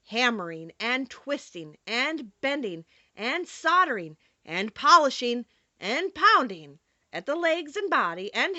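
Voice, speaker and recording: A woman narrating a children's storybook in an expressive, theatrical read-aloud style. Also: on the high side, no echo, muffled recording. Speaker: audiobook narrator.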